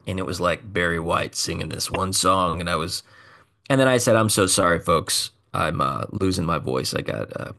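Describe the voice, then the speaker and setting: A man's voice: low-pitched, pushed and bright. A man, a singer being interviewed, telling a story in a chatty, self-deprecating way with loose fillers. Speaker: singer and musician guest.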